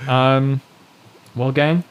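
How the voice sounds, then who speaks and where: A man's voice: medium pitch, fairly even intonation, drawn-out words, clear, resonant tone. A man hosting a podcast, talking casually and hesitantly, with many fillers and restarts. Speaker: podcast host. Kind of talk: casual chat.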